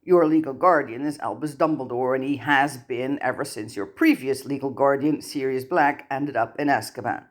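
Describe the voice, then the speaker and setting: A narrator voicing a stern male goblin account manager in a storybook, formal and curt in a monotone manner. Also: medium pitch, normal speed, few pauses, natural voice.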